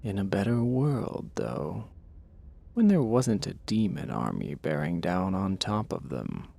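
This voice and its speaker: Slow, gravelly male storyteller. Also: deep voice, sing-song, warm tone. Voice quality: gravelly, husky. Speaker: narrator. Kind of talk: scripted audio drama storytelling.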